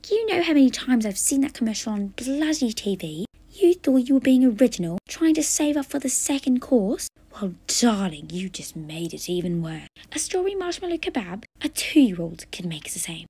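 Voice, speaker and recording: A woman's voice: a little high, big pitch swings, normal speed, few pauses, dark tone, breathy edge, no echo, pitched above the performer's own voice, heavily acted. A woman voicing a haughty, scathing female food critic who talks dramatically and breathily, calling people darling.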